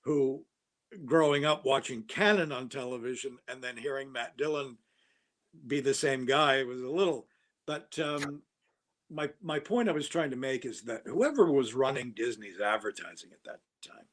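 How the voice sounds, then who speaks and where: A man's voice: medium pitch, moderate pace. Man rambling through a casual chat. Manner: rambling, enthusiastic, many fillers and restarts. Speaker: guest actor.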